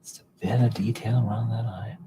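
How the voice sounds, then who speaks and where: A man hosting a livestream, chatting casually and muttering quiet asides to himself as he paints. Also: on the low side, steady tone, brisk, noisy background. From Canada. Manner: soft, muttering to himself, drifting between quiet asides and chat. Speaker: livestream host painting miniatures. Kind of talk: casual chat while painting.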